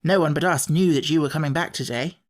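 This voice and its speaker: Guy doing an earnest schoolboy in an audiobook, quick-thinking, hesitant, kinda desperate. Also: on the high side, close to an everyday voice. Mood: desperate, persuading. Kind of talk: audiobook character acting.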